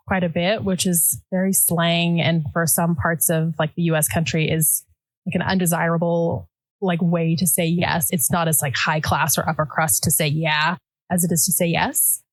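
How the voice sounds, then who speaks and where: Female podcast guest, native Dutch speaker, talkative and relaxed, slightly low voice. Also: measured pace, dry recording, rough recording. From United States. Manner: chatty, says "like" often. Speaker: podcast guest, quilt pattern designer. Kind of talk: casual interview chat.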